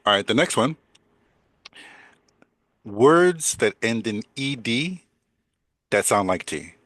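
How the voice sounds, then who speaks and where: An older man with a deep voice teaching English in a chatty way, repeating words and laughing often. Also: expressive, slow, a bit bright, dry recording. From United States. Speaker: English coach. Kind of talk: casual teaching conversation.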